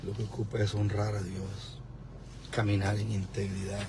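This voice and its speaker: A man's voice: lowish voice, monotone, normal speed. Exhorting middle-aged man, vehicle noise behind. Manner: exhorting, moralizing. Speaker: preacher livestreaming. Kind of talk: informal preaching mixed with chat. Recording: noisy vehicle and outdoor background.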